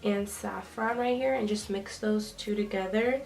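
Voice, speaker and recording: A woman's voice: mid-range voice, fairly even intonation, a little slow, vocal fry, a little mellow. A young woman vlogger with a stuffy, nasal voice from a cold, chatting casually with lots of ums as she does her makeup. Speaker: beauty vlogger. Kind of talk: casual makeup tutorial talk. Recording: slightly distant with faint noise.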